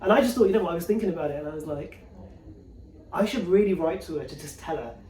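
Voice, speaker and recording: A middle-aged man with a high, soft-edged voice speaks as an interview guest. He tells personal stories in a casual, animated style, dropping into quoted remarks. The sound is roomy, as if the mic sits some way off. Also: fast talker. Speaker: interview guest, corporate executive. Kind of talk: casual conversational personal anecdote. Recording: echoey room, distant mic.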